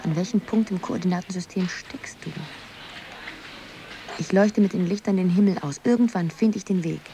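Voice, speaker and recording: A woman's voice: lowish voice, moderate pace, lightly acted. An actress playing a dreamy, playful young woman who is searching, in a poetic radio drama monologue. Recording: loud music or noisy ambience behind.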